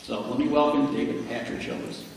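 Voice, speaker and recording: Halting American man in a reverberant hall. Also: medium pitch, fairly even intonation, a loud crowd. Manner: halting, hesitant. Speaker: host introducing a speaker. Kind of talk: informal spoken introduction. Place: large indoor lecture room. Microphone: handheld mic through room speakers. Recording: very echoey hall, distant, faint noise.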